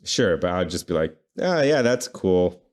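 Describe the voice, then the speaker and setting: A man with a low-pitched voice speaks as a podcast co-host. He talks in a loose, conversational way, as in an informal chat about games. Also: sing-song, measured pace. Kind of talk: casual video game podcast chat.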